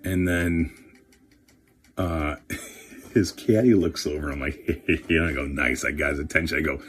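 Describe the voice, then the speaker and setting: Deep-voiced chatty man opening card packs. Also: unhurried, slight background noise. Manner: chatty, excitable, swears casually. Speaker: career coach and card collector host. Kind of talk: casual card-opening commentary.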